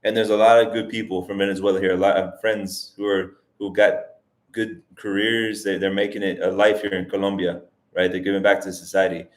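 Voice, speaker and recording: A man around forty hosts a casual live Q&A, reading out viewer questions. His voice sits fairly low, and he rambles and restarts as he thinks things through aloud. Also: flat delivery, brisk. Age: 40s. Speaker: livestream host. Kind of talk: casual livestream Q&A. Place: lives in Colombia as an immigrant.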